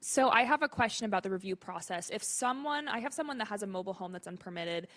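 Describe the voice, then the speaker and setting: A woman's voice: mid-range voice, animated, fast talker, a bit bright. Woman from the audience asking a detailed question, careful and plain.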